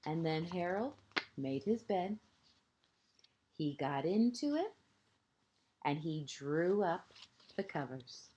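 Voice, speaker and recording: A woman with a soft-edged, mellow voice tells a story to small children at an unhurried pace. She is gentle and expressive, leaves space between short phrases, and often lets the ends drop toward a hush. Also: fairly low pitch, highs cut off. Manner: deliberate pauses, short phrases, asides to young listeners. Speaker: kindergarten teacher reading a picture book. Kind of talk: read-aloud storytelling for young children.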